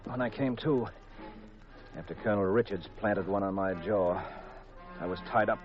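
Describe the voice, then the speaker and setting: An actor playing a cool, wry private detective in an old radio mystery, terse and deadpan, narrating his own case. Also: lowish voice, moderate pace, lightly acted. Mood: matter-of-fact. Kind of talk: 1940s-50s radio mystery acting, first-person narration. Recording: muffled vintage radio recording with music.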